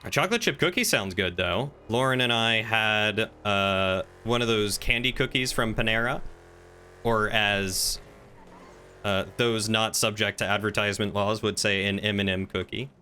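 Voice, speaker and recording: A man with a fairly low voice and a very bright, crisp edge chats casually on a live game stream. There is faint noise behind him as he talks. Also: normal speed.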